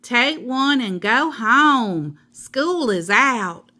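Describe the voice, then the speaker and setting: Storybook teacher, played by a woman reader: chirpy, bossy, takes everything literally; slow emphatic phrasing with big melodic swings. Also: on the high side, animated, pushed and bright, voice pushed up, heavily acted. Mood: excited, instructing. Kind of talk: children's storybook read-aloud.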